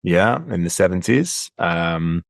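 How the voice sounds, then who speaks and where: A man's voice: low voice, slow, gravelly, dry recording, clean studio sound. A man of about fifty with an English accent, a guest talking reflectively and repeating words as he gathers his thoughts. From England. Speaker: guest, leadership coach. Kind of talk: casual interview talk.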